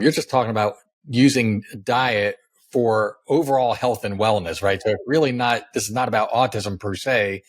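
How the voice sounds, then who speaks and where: Low-voiced male podcast host, dry sound. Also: dry recording. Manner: measured, reflective, checks in with "right?". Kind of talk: podcast interview.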